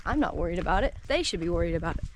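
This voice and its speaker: A woman's voice: fairly low pitch, animated, racing. A woman in her thirties chatting to her camera on a wooded trail, rambling and laughing often. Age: 30s. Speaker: solo hiker vlogger. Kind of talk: casual walking vlog chat. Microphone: action camera mic carried while walking. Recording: outdoor background noise.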